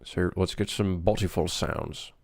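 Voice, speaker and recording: A man from Switzerland, a native French speaker, chatting casually on a livestream as he works on game development, thinking aloud in short, halting remarks. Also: low-pitched, breathy edge, no echo, studio quality. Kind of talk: casual livestream chat while working.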